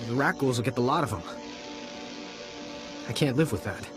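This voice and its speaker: An actor playing a weary, desperate army private, halting and pleading, in a video game. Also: male voice, mid-range voice, expressive, music in the background, close to an everyday voice. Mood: bitter, despairing.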